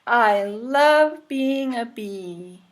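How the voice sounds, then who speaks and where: Lady doing a little girl honeybee from a storybook, high n singsong, earnest, takes her time. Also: expressive, extremely slow. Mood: proud, delighted.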